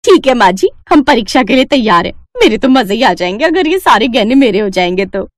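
A woman's voice: fairly high pitch, sing-song, a little fast, no echo, studio quality. A woman voicing a greedy, pushy grown daughter-in-law in a cartoon moral story, complaining and eager. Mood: eager, boastful. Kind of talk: animated moral story dialogue.

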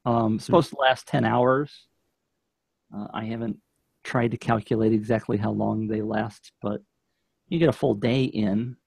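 A man's voice: fairly low pitch, fairly even intonation, normal speed, gravelly, darkish tone, breathy edge, dry recording. A man in his fifties chatting casually as a podcast guest, giving his take on products into a close studio mic. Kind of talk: casual chat, product review. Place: home office indoors. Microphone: studio microphone on shock mount, close up.

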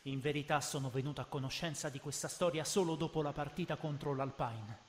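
With long reverb and music behind, a friendly young anime coach played by a man speaks in a fairly high voice. He is direct, upbeat and determined. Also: fairly quick, a little hiss or hum, natural voice. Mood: explaining. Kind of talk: Italian fan dub of anime.

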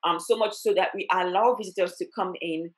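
A woman in her thirties from Cameroon, speaking as an interview guest over a headset on a video call. She talks animatedly, dotting her speech with fillers and quick laughs. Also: fairly low pitch. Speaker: travel blogger and doctor, guest. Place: home room, indoors.

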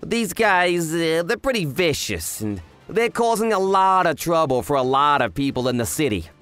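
A self-assured young yakuza played by a man chats through an anime explainer. The voice is high for a man and animated, cocky in temper, over faint music. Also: moderate pace, clear, resonant tone. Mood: explaining. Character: cocky, chatty young male yakuza, self-styled genius. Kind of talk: scripted anime explainer dub narration.

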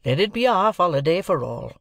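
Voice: mid-range voice, sing-song, fast talker, dry recording, studio quality, voice pushed up. A performer voicing a peaceable, plain-spoken master blacksmith with a simple, placating country manner in an audiobook. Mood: conciliatory.

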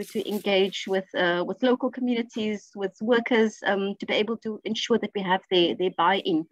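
A woman speaking as a panelist in an online discussion, measured and advocating. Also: medium pitch, no echo, lo-fi sound.

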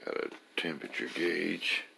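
A man's voice: deep voice, moderate pace, creaky, noisy background. A man giving a casual hands-on garage walkthrough as an amateur engine builder, plain-spoken and folksy with plenty of swearing. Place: indoor garage or workshop with concrete floor.

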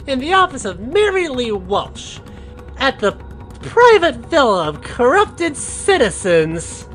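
A woman's voice: high voice, very animated, slow pace, fairly bright tone, faint music behind. A woman reading scripted narration for an amateur comic dub.

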